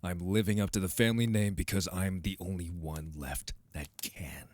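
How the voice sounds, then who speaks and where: Man acting a very deep-voiced, embittered vampire brother. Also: steady tone, normal speed, no echo, lightly acted. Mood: cold, defensive. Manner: intense, emphatic, accusing delivery. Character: embittered vampire brother, hardened, wounded, proud young man. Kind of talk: dramatic audio roleplay acting.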